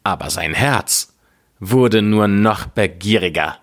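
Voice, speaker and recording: A man narrating a scripted fairy tale as a storyteller, in a measured, deliberate way. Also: fairly low pitch, fairly bright tone.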